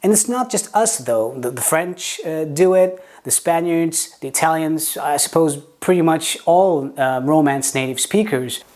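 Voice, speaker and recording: A man whose first language is Portuguese, speaking English with a Portuguese accent, teaching pronunciation in a video lesson and demonstrating words slowly. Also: on the high side, animated, darkish tone. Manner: explains with examples, slow deliberate demonstration words, some stammered restarts.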